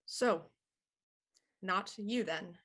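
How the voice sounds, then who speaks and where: An actress playing a composed, shrewd police inspector in a period radio murder mystery, with a dry, deliberate delivery. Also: female voice, medium pitch, fairly bright tone, husky, exaggerated voice. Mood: dry, measured. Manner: deliberate, dry, theatrical deduction.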